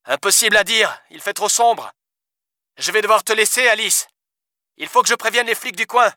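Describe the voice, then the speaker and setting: A man's voice: high voice, normal speed, sharp tone, no echo, clean studio sound, voice pushed up. A man reading aloud, voicing a blunt, swearing male police officer in a raised voice. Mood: shaken. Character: gruff foul-mouthed male French cop.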